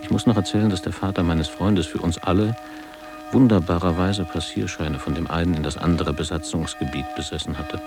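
A very deep-voiced middle-aged man recalls his past in a radio play. His delivery is measured and literary, with a creaky, gravelly grain. Music sits clearly behind his reflective narration. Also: fairly even intonation. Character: reflective ageing former pupil recalling postwar boarding school. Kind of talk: scripted radio drama narration.

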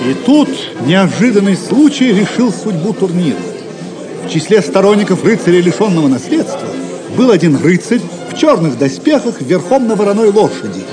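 High-pitched male dramatic narrator over music. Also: big pitch swings, normal speed, crowd noise. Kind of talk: dramatic scripted storytelling. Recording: music behind the voice.

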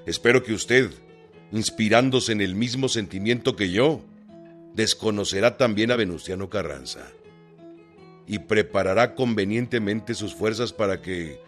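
A determined northern rebel general in a radio play, reading a formal letter aloud. His voice sits low, and faint music plays beneath him. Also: a little slow, a bit bright. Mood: resolute. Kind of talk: radio drama acting. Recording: with music underneath.

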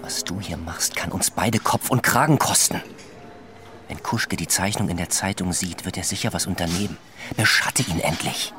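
Strict skeptical cop in radio drama, low voice, clear music underneath. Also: male voice, normal speed, pushed and bright. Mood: skeptical, stern. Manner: skeptical, insistent. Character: stern male law officer, skeptical and by-the-book. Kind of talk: radio play dialogue.

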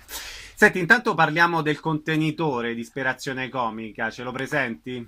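A man's voice: medium pitch, moderate pace, sharp tone. A man hosting a conversational arts interview on the radio.

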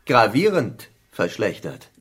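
Eccentric affable surgeon, soothing, slightly pompous, animated intonation, radio drama, music behind. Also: male voice, lowish voice, slow, noisy background, heavily acted. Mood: grave. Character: Olaf, affable, eccentric plastic surgeon acting as island doctor. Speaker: doctor. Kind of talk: German radio drama acting.

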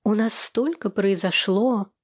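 A woman's voice: medium pitch, takes it slowly, husky, no room sound, studio quality. A woman voicing a playful, nosy, chatty female office colleague with a bubbly, gossipy manner in an audiobook.

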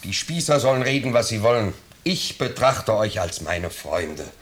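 An actor playing an anxious, ingratiating middle-aged bourgeois host in a 1950s radio play, pleading and blustering. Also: male voice, lowish voice, sing-song, moderate pace, a bit bright. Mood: effusive, pompous. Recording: noisy 1950s radio recording.

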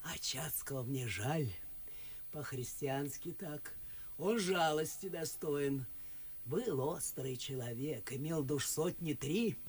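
A woman's voice: low-pitched, slow, darkish tone. Imperious old noblewoman, noisy background. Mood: pitying. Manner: blunt, stubborn declaiming. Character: imperious, opinionated old Moscow noblewoman aunt. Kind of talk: stage-classic verse radio acting.

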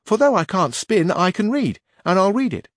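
A man doing a bright, courtly young page. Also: sits high, very animated, normal speed, dry recording, clean studio sound, voice pushed up. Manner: raised, eager, courtly. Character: quick-witted, courteous young male page.

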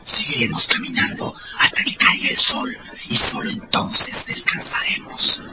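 A man acting as a violent, bullying invisible fugitive in a radio drama, threatening and commanding in a breathy, whispery voice. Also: unhurried, noisy background, lightly acted. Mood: menacing.

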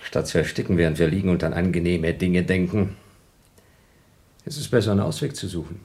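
A man playing an impatient, excitable astronaut trapped on a moon station, in an urgent radio play style. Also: deep voice, fairly quick. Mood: restless, irritated.

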